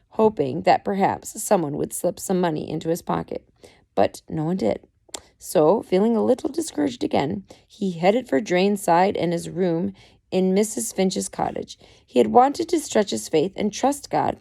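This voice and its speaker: A woman's voice: fairly low pitch, vocal fry, dark tone. A woman narrating a story aloud from a book, reading it expressively. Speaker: amateur audiobook reader.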